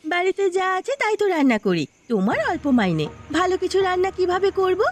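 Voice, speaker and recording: A woman voicing a village wife in a cartoon, animated and expressive. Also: extremely high pitch, fast talker. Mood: hurt, pleading. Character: village women, a peasant's wife and her elderly mother-in-law. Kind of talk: Bengali cartoon voice acting.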